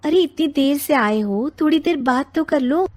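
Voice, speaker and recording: A woman's voice: high voice, animated, normal speed, a little mellow, pitched above the performer's own voice. A woman voicing a protective wife and mother in a cartoon family story, chiding and reproachful.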